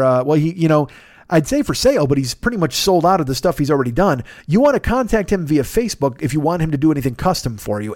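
A man's voice: fairly high pitch, a little mellow, no echo, studio quality. A man hosting a podcast, rambling casually and a little profanely through plugs at the end of an episode. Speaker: podcast host.

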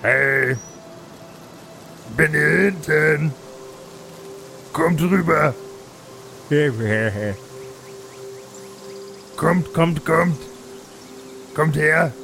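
Guy doing a giddy weirdo hermit druid, stammers and repeats himself, sharp bright voice, music behind. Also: a little high, extremely slow, creaky, pauses often, exaggerated voice. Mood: excited. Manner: stammering, repeats words and phrases excitedly. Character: eccentric, giddy, muddled male hermit druid. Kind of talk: pen-and-paper audio play role-playing.

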